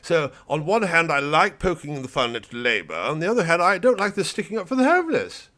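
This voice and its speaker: A pompous teenage fogey played by a man who frets and stammers over everything with prim disapproval. His voice sits high and sounds bright and pinched. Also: big pitch swings, fairly quick. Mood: prim, fussy. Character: pompous self-declared 17-year-old male fogey, prissy. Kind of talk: satirical comedy monologue.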